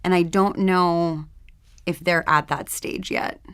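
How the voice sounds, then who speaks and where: A woman's voice: lowish voice, steady tone, slow pace, fairly bright tone, smooth, dry recording, clean studio sound. A woman chatting casually and reflectively as a guest on a panel.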